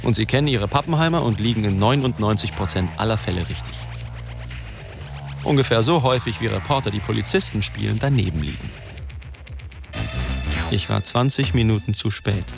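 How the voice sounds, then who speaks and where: A wry newspaper reporter tells his own story in a radio drama. The voice is low and deliberate, with dry irony. Clear music plays behind it. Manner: ironic, deliberate. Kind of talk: first-person radio drama narration.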